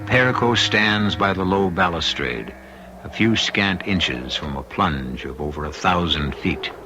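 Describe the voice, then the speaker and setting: A man hosting and narrating an old-time radio mystery in a measured, ominous, theatrical style. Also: low-pitched, animated, background noise. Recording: vintage radio recording. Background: dramatic music.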